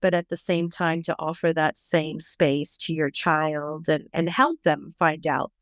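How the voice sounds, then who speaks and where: A middle-aged woman from Bangladesh, a parenting coach, speaking as a podcast guest in a reflective, measured way. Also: sits low, level tone, no room sound, clean studio sound. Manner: reflective, measured, thoughtful explaining. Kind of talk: podcast interview.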